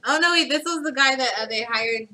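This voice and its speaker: A woman on a video call chatting casually, making quick teasing remarks and giggling. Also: high voice, bright, forceful tone, distant mic, slight background noise, rough recording. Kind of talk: casual chat.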